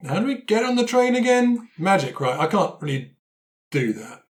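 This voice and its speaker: A man's voice: sits high, big pitch swings, moderate pace. A man improvising a cocky, vengeful adventurer in a roleplay, speaking in a blunt, offhand, matey way. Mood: breezy. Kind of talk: improvised roleplay for an actual-play podcast.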